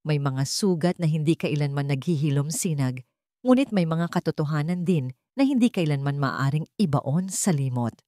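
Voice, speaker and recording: A woman's voice: deep voice, expressive, normal speed, dark tone, no room sound. A woman narrator plays an elderly housemaid in an audio drama story. She is motherly and secretive, speaking with grave care in a dry, close recording. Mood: grave, fearful. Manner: grave, caring.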